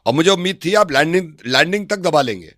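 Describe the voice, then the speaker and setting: A man playing a stern, scolding airline official in a prank call, insistent and quick to cut in. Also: a little high, animated, fairly bright tone, dry recording, clean studio sound. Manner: insistent, interrupting, admonishing. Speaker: prank caller. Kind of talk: improvised prank phone call.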